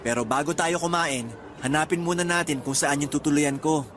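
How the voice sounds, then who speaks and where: An earnest young Filipino man on his first trip abroad , played by a male actor in a radio drama. His voice sits a little high and sounds conversational and eager, with light music underneath. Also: brisk, fairly bright tone, lightly acted. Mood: curious, teasing.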